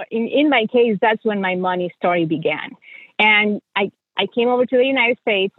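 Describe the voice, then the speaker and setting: A woman's voice: medium pitch, dry recording, phone-quality sound. A middle-aged woman from Mexico with a Mexican accent, a native Spanish speaker, telling her story conversationally as a podcast guest. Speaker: podcast guest, financial coach and journalist.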